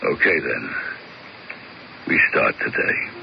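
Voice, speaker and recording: An actor playing a blunt, cocky hired enforcer in a 1970s radio drama, with a gravelly voice. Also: male voice, takes it slowly, highs cut off, natural voice. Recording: hissy old radio broadcast.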